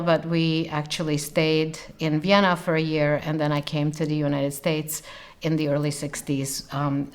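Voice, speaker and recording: Woman in her sixties, grew up in romania, german first language, thoughtful, lots of ums, lowish voice. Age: 60s. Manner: thoughtful, frequent ums and uhs, lingers on words. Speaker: interviewee, scholar. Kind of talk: reflective personal account.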